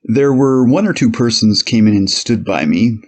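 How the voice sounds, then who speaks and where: A man playing an 1890s police officer on the witness stand, giving measured, formal, slightly hesitant testimony in a dramatized courtroom reading. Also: medium pitch, expressive, darkish tone, close to an everyday voice.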